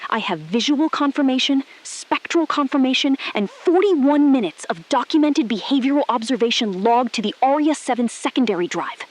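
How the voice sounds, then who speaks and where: A calm and unyielding female astronaut played by an actress speaks in a fairly high voice. Her delivery is deliberate and precise, built on short, measured statements in the manner of a scripted audio thriller. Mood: firm, measured. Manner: deliberate, measured, clipped declarative sentences. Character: calm, resolute woman astronaut-scientist, defiant and precise. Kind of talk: scripted audio drama acting.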